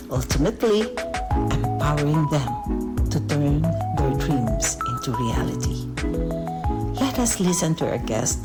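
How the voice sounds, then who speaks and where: A woman reading a scripted podcast introduction in a measured, formal way. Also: lowish voice. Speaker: intro narrator. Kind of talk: scripted narration. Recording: voice-over with loud music behind.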